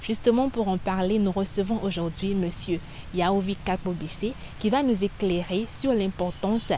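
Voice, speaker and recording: A woman's voice: medium pitch, mellow, a little hiss or hum. Woman presenter. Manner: clear, measured delivery. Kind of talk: scripted show presentation.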